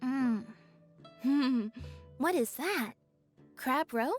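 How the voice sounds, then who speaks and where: Reserved, picky young female game diner, high voice, cautious delivery. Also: animated, extremely slow, soft background music, heavily acted. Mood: curious. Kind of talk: video game voice acting.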